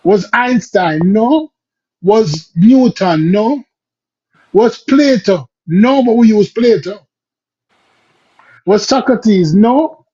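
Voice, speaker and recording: A man's voice: high-pitched, animated, drawn-out words, long pauses, mellow, slightly rough. A man arguing a point in a podcast discussion, firing off rhetorical questions in a lecturing way. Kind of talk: argumentative discussion. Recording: dull lo-fi audio.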